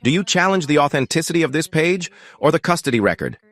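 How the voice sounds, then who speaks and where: A man's voice: on the high side, very animated, quick pace, a bit bright, no echo, voice pushed up. A man voicing a stern male investigator who asks formal, clipped questions.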